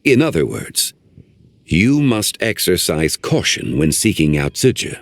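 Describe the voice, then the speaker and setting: A man voicing an ancient male guardian in a video game, speaking in a formal, measured, old-fashioned way. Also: sits low, big pitch swings, no echo, full character voice. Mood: grave.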